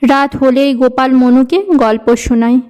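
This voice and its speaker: A woman narrates a cartoon story from a script. Her voice is high and very smooth, with a mellow, rounded tone that suits a storybook. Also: steady tone, a little slow.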